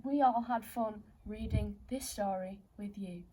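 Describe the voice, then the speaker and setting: A woman's voice: mid-range voice, unhurried, dark tone, a little hiss or hum, poor audio. A woman reading a story aloud to children in a playful, theatrical way, speaking directly to her young listeners.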